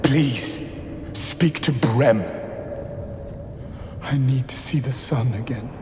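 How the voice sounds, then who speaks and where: An actor voicing an earnest captive artist in a video game, well-spoken, florid and persuasive. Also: male voice, mid-range voice, slow pace, very noisy, lightly acted. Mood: pleading, persuasive. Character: earnest, eloquent captive male artist pleading for rescue. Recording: echoey cavern reverb.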